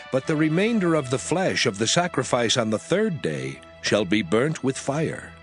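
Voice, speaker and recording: A man narrating Bible scripture in a solemn, measured reading style. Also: mid-range voice.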